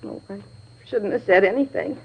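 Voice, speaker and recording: A woman's voice: medium pitch, moderate pace, breathy edge, lightly acted. An actress in an old radio drama playing a chatty, shaken cleaning woman who rambles in folksy, ungrammatical speech. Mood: confiding, nervous. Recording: muffled vintage radio recording.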